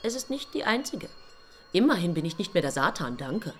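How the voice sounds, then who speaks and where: Low-voiced, mocking female angel. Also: sing-song, a little fast, slight background noise. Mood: taunting. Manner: taunting, ironic. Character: small teasing angel, coolly mocking. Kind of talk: radio play acting.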